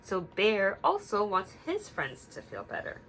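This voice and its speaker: Expressive, bright-voiced woman reading to children. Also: mid-range voice, measured pace, a little hiss or hum, poor audio. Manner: expressive, simple explanations for young children. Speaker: teacher reading aloud. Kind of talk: children's read-aloud with questions to listeners.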